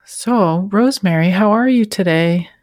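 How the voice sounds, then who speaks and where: Measured podcast host reading an intro. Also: mid-range voice. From Washington State, US. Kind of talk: scripted intro then interview.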